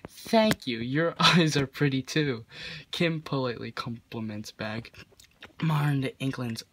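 A man's voice: mid-range voice, a little slow, a bit bright, a little hiss or hum. A man reading fan fiction aloud as a comedy host, amused and prone to stumbles and ad-libbed asides. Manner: breaks into giggles, stumbles over the text.

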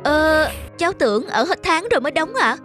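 A woman voicing an earnest, polite young man in an animated moral story. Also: high voice, brisk. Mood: sheepish. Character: earnest young man, spendthrift son learning independence. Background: background music.

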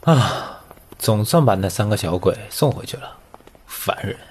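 A man acting a gruff, low-voiced older brother. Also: expressive, measured pace. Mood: exasperated. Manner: grumbling, brusque. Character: gruff, irritable teenage older brother, secretly confused in love. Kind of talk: audio comic voice acting.

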